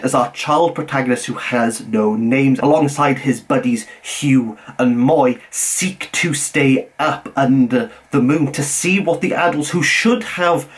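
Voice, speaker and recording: A young adult man with a Welsh accent, reviewing a novel in a measured, earnest way, dropping his voice low on titles. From Wales. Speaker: book reviewer. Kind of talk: scripted book review.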